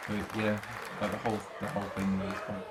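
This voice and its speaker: A man with a low, very flat voice answers casually in an interview. Loud music and a noisy crowd surround him in an echoey space. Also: a little fast.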